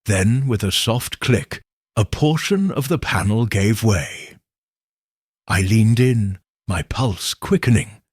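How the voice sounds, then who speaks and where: A man narrating a detective story in the first person with slow, expressive delivery. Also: on the low side, breathy edge, no echo, studio quality. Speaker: audiobook narrator.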